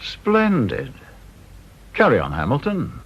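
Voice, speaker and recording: An actor playing a needling senior British official in a radio drama, dry, sardonic and mock-polite. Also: male voice, medium pitch, huge pitch swings, slow, gravelly, husky, exaggerated voice. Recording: dull old radio recording.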